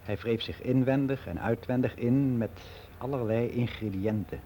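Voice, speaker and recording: A man's voice: medium pitch, moderate pace, mellow, a little hiss or hum, close to an everyday voice, rough recording. An actor playing a candid, reflective older man, confiding matter-of-factly in a conversational radio drama monologue. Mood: frank, wry. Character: candid, reflective ageing man, worldly and unbelieving.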